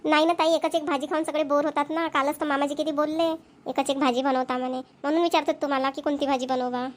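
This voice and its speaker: A woman plays an overworked young daughter-in-law in a cartoon family comedy. Her voice is high and quick, full of grumbling. She chatters colloquially, like someone forever fed up with chores. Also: steady tone, lightly acted. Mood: complaining.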